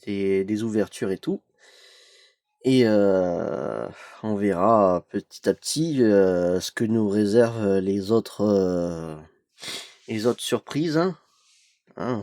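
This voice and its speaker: Young male vlogger, stuffy from a cold, unhurried and hesitant, dry close sound. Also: lowish voice, warm tone, dry recording. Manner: hesitant, many "euh" fillers, chatty. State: has a cold. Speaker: YouTube collector opening an advent calendar. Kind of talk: casual unboxing vlog.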